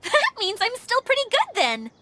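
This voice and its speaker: A bubbly, high young caretaker. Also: female voice, wildly swooping pitch, moderate pace, bright, forceful tone, exaggerated voice. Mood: cheery. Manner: bubbly, giggly. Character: cheerful, self-satisfied young woman caretaker. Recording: game cutscene with music behind.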